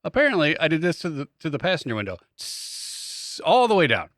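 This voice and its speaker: A bright-voiced man chats as a podcast co-host, telling everyday stories with exasperated humor. His delivery swings up and down as he acts out the dialogue, and the sound is dry and free of room echo. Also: on the high side, huge pitch swings, a little fast. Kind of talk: casual comic storytelling.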